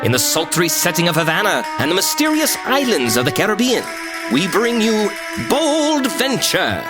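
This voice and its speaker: A man's voice: high-pitched, very animated, normal speed, poor audio. A man announcing in the dramatic style of an old-time radio show introduction. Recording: loud music under the voice.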